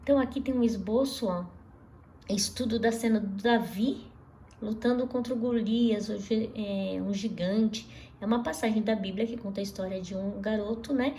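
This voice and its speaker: A woman teaching an art lesson to young pupils in a lively, chatty way, often asking them questions and restarting words. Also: mid-range voice, moderate pace, a little hiss or hum. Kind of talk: recorded class lesson for children.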